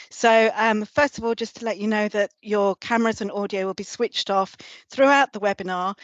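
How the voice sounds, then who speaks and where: Woman host with a slightly gruff voice. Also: medium pitch, no echo, highs cut off. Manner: measured, explanatory, with frequent "um"s. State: slightly gruff voice, recovering from flu. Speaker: webinar host, senior lecturer. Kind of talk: presenting a webinar.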